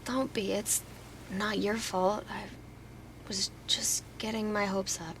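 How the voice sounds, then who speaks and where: A woman's voice: medium pitch, little pitch movement, slow, fairly bright tone, a little hiss or hum, rough recording. A woman playing an earnest, wounded but hopeful helper in a naturalistic audio drama. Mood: disappointed.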